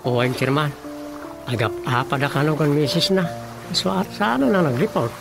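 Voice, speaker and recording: An actor playing a grumbling, reluctant village office worker in a comic radio drama, colloquial, with an Ilocano accent. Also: male voice, fairly high pitch, animated, moderate pace, gravelly, music in the background. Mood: casual, curious.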